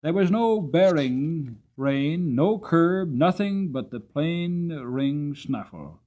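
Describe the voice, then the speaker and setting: Expressive male storyteller, very animated pitch. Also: takes it slowly, muffled recording. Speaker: audiobook reader. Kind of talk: dramatic storybook narration.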